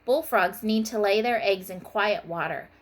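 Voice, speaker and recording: A woman's voice: medium pitch, moderate pace, lightly acted. A woman reading a storybook aloud as a confident, adventurous female science teacher. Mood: eager.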